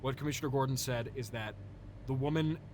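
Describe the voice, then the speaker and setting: A man playing an exasperated, reasonable superhero interrogator in an improvised comedy sketch, deadpan, halting and conversational. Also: medium pitch, noisy background, close to an everyday voice. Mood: weary reasoning.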